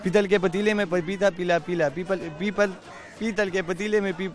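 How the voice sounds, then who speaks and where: A young male student interviewed outdoors in a zoo park, speaking in a halting way and stumbling over his words. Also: sits high, fairly even intonation. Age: young adult. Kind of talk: casual chat. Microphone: handheld mic.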